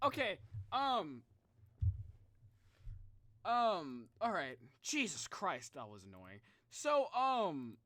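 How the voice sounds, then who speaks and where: A man livestreaming in a casual, halting way, chatting informally to his viewers and muttering to himself. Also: sits high, huge pitch swings, bright, forceful tone. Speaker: live streamer reacting to game videos.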